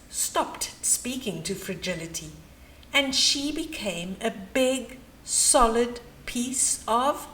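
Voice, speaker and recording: A woman's voice: mid-range voice, a bit bright, a little hiss or hum, poor audio. A woman reading a children's bedtime story aloud, adding chatty asides and questions for young listeners.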